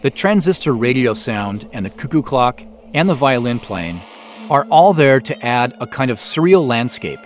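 A middle-aged man with an American accent, giving measured, explanatory scripted commentary as the creator of a show. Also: medium pitch, sing-song, a little hiss or hum. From US Pacific Northwest. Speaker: audio drama creator and host. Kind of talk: scripted behind-the-scenes commentary.

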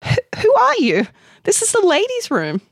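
A woman's voice: on the low side, big pitch swings, normal speed, no echo, pitched above the performer's own voice. A woman voicing an anxious, indignant, sarcastic female character in a short story, incredulous in manner. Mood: startled. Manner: incredulous, stammering when startled, scoffing.

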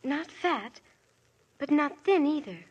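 A woman's voice: sits high, lively intonation, takes it slowly, dull audio. A polite, cheeky schoolgirl witness. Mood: earnest. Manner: polite, keeps adding "monsieur". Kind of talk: 1950s radio drama acting.

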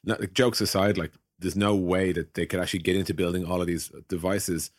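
A man's voice: deep voice, fairly even intonation, brisk, no room sound, clean studio sound. A man in a casual tech discussion, hosting and telling points through imagined quotes. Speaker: podcast host and tech executive.

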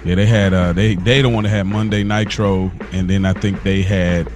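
A man with a fairly low voice chats casually as a podcast co-host. His delivery is conversational and informal, with music faintly behind him. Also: steady tone, moderate pace. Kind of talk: casual chat.

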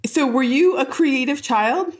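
A woman's voice: sits high, expressive, measured pace. A woman with an American accent, speaking as a podcast host introducing and interviewing guests. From Buffalo, New York, United States.

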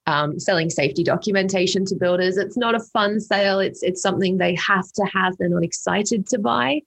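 A woman's voice: medium pitch, brisk, creaky, husky. A young woman from England who now speaks with an Australian accent, telling her story as a guest in a casual interview. She talks in a chatty way, with lots of fillers and repeated words. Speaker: company co-founder, guest.

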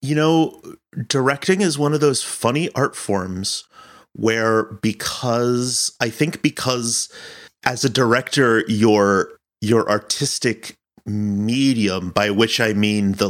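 Man, slightly low pitch, very slow pace, halting, thinking aloud, reflective interview talk. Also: a bit bright. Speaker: podcast director and producer being interviewed.

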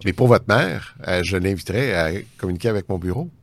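A man with a Canadian French accent speaks in a fairly low voice, recorded close and dry. He explains things as an official being interviewed, hesitating and restarting as he works through his sentences. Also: lively intonation, a little fast, no echo. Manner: hesitant, circling back mid-sentence. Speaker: tax ombudsman interviewed as guest. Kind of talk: unscripted explanatory interview talk.

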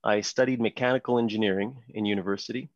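A man's voice: on the low side, fast talker, no room sound. French Canadian man, dull dry sound. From Montreal, Canada. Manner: relaxed storytelling with frequent ums and restarts. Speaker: podcast guest, company co-founder. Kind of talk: casual interview talk.

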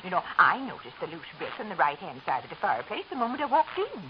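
An earnest, gentle homeowner in an old radio play, breathy and gushing. Her pitch swings very widely. The broadcast is dull and lo-fi. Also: huge pitch swings, fast talker, noisy background. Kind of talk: 1940s radio acting.

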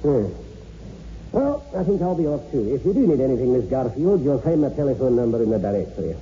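A man playing a courteous, brisk village doctor in a 1960s radio horror drama, with a formal, solicitous manner. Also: medium pitch, big pitch swings, close to an everyday voice. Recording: muffled old radio recording, faint hiss.

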